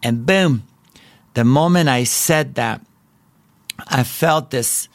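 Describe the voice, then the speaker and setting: A middle-aged man from Bulgaria with a Bulgarian accent, telling his personal story as a podcast host in an earnest, halting way with frequent restarts. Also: mid-range voice, no room sound. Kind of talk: personal testimony.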